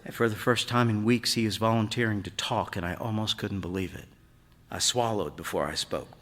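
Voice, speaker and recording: A man's voice: on the low side, fairly quick, a bit bright. Man reading fiction in a reverberant hall. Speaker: reader of short fiction. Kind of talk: literary short story reading before an audience. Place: live stage in a large hall. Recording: long hall reverb of a stage.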